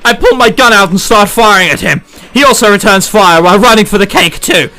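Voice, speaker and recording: A man's voice: sits high, fairly quick, pushed and bright. A man who is a let's player reading a visual novel aloud dramatically, with exclamatory, sweary joking commentary.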